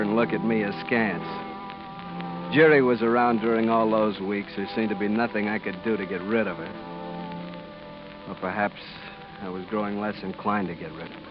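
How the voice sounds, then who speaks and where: Resigned expatriate storyteller played by a male actor, lowish voice, vintage radio adventure, muffled recording with music. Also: steady tone, normal speed, close to an everyday voice. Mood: reflective. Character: weary, resigned expatriate man narrating his island ordeal. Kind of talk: 1940s radio drama narration and acting.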